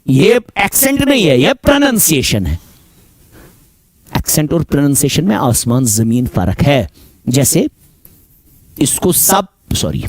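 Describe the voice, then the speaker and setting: Slow Kashmiri man teaching. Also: a little high, sing-song, darkish tone, no echo. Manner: slow, repetitive, mixes Hindi and English, addresses students as beta. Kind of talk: classroom lecture.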